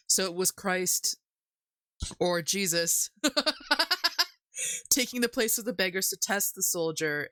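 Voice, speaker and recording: A woman's voice: fairly low pitch, fairly bright tone, dry recording. A woman hosting a livestream, chatting casually and jokily about folk tales in a rambling way. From Canada. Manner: jokey, giggly, rambling. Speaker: livestream host.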